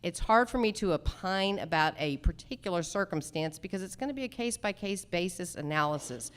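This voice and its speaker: A woman candidate for judge speaking formally at a forum, deliberate, articulate and emphatic. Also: fairly low pitch, animated, clear, resonant tone. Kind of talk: formal forum answers, measured campaign speech.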